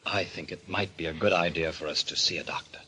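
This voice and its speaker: A man's voice: low-pitched, fairly even intonation, fast, bright, forceful tone, husky, full character voice. An actor playing a solicitous, insistent husband alarmed by his wife, speaking urgently and patronizingly in 1940s radio thriller style. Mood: cautious concern. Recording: noisy vintage radio recording.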